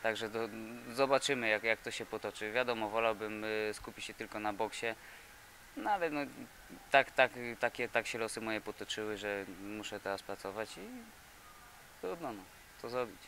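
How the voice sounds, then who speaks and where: Young man, 20s, boxer, bright tone, hesitant, repeats words, handheld mic, faint background hiss. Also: on the low side. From Poland. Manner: halting, many fillers and repeated words. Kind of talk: interview answers. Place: indoor boxing gym. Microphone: handheld reporter mic.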